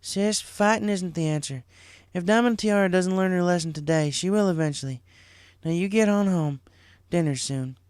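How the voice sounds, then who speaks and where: An earnest, caring farm pony mare from a storybook voiced by a man. The voice sits well above a man's usual pitch and talks with big-sisterly firmness. It is a close, dry recording. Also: moderate pace, clear, resonant tone, no echo, natural voice. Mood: reassuring. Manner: gentle, firm big-sisterly talk.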